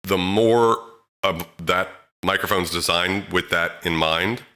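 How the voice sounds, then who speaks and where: A man with a very low, deep voice and a crisp, bright edge talks through microphone tips in a loose, chatty way, with fillers like "um" and "you know". He speaks close to a handheld mic in a home studio. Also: takes it slowly. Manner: relaxed, chatty, frequent fillers. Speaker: audio tutorial host. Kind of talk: casual how-to explainer.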